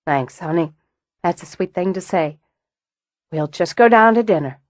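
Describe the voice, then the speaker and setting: A woman narrator voicing a fiery woman quick to fury, speaking forcefully and bitingly. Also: lowish voice, lively intonation, dry recording, natural voice. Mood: gentle.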